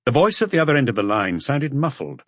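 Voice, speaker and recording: A man's voice: mid-range voice, sing-song, fast, a bit bright, no room sound. A man reading scripted crime fiction as an audiobook narrator.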